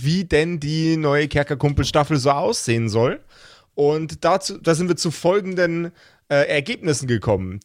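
A man chatting casually as a podcast game master, halting and often restarting words as he searches for phrasing. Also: on the high side, no echo, clean studio sound. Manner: halting, searching for words, repeats word starts. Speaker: game master of an actual-play role-playing podcast.

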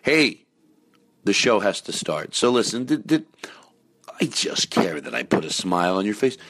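A man's voice: on the low side, big pitch swings, moderate pace, fairly bright tone. A man hosting a comedy podcast, talking casually in a goofy, playful way with earnest asides. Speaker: podcast host.

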